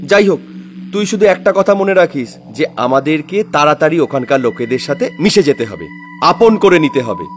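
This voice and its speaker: A man's voice: fairly high pitch, very animated, normal speed. A man playing an earnest, polite middle-aged family man in an audio horror story. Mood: reassuring.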